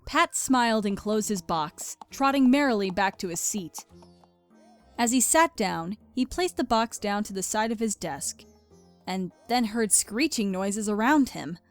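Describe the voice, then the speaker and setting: A woman's voice: medium pitch, expressive, a little slow. Woman narrating a story from a script, mid voice, faint music under it.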